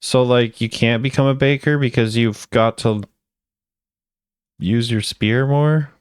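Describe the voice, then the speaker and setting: A man's voice: mid-range voice, steady tone, a little slow, smooth, no echo, clean studio sound. A man hosting a gaming video, giving laid-back, off-the-cuff commentary as he thinks aloud. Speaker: video host ranking game voice lines.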